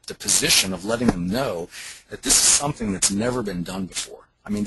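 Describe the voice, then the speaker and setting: A man's voice: on the low side, background noise. A man speaking as a company executive, giving an emphatic, insistent promotional presentation on a conference call. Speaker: company executive addressing sales leaders. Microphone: telephone conference call.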